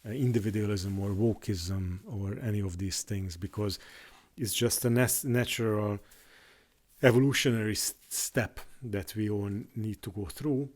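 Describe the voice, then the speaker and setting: Halting low-voiced man, Hungarian native. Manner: halting, many restarts and fillers. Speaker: YouTuber. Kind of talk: unscripted monologue on faith.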